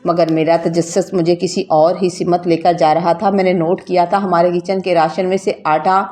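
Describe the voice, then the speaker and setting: A woman's voice: fairly low pitch, flat delivery, mellow. A woman narrating a scripted, emotional story in a dramatic, sentimental storytelling manner.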